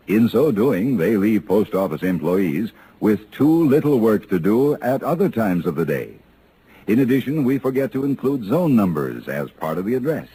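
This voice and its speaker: Low, mellow American radio announcer man. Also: slight background noise, poor audio. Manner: measured, formal. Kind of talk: 1950s scripted radio announcement.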